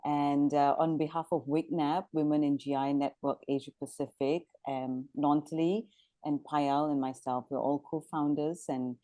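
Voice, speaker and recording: A woman's voice: sits low, little pitch movement, normal speed, mellow, no room sound. A middle-aged woman from Malaysia, a panelist introducing herself in an informal professional way.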